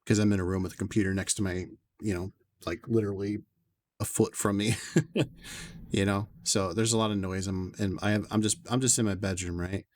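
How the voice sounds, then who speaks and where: Low-voiced man rambling to his stream. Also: fairly even intonation, normal speed, a little mellow, dry recording. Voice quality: upper bass to lower tenor range. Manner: rambling, talks to chat in long winding asides. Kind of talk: casual chat while streaming a game. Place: bedroom. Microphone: desk USB condenser mic with noise suppression.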